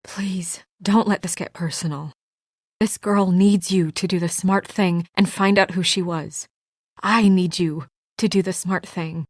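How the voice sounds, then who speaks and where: A woman voice actor playing an earnest, composed young woman official in a video game, speaking in a measured, serious, restrained way. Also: fairly low pitch, fairly even intonation, dry recording, studio quality. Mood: tense, pleading. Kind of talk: naturalistic video game dialogue.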